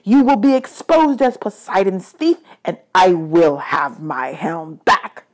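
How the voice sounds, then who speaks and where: A woman acts out an angry male god of the dead from a storybook. He is imperious and aggrieved, ranting at mortals with heavy, drawn-out emphasis on his words. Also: fairly low pitch. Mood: accusing.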